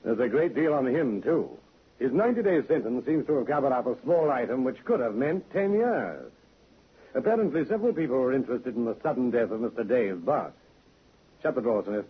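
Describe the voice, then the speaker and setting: An actor playing a calm, shrewd police inspector with a dry, measured, commanding manner in a vintage radio drama. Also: male voice, medium pitch, slightly rough. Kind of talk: 1950s radio drama acting. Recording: tinny narrow vintage radio recording.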